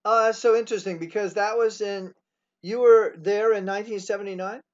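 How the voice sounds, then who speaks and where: A man in his sixties hosts a relaxed interview, his speech halting and full of small restarts. His voice sits rather high for a man. The recording sounds dull and lo-fi. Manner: halting, many fillers and restarts. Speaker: host. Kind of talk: casual interview.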